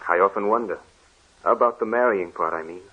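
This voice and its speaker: An actor playing a sensitive, troubled young Dutch student who speaks earnestly, in 1940s radio drama style. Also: male voice, low voice, a little fast, slightly rough. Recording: dull old radio recording.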